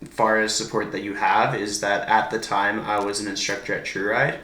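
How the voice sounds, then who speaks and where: A man's voice: on the low side, level tone, normal speed, roomy sound, noisy background. A man hosting a podcast, asking questions and supportively affirming his guest. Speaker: podcast host. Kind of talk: casual interview chat.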